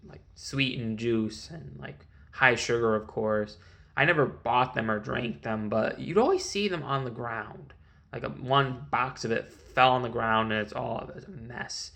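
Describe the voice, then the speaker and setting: Gravelly-voiced man rambling casually. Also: mid-range voice, fairly bright tone. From Connecticut, USA. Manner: rambling, hesitant, many fillers. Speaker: vlogger. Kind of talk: casual monologue.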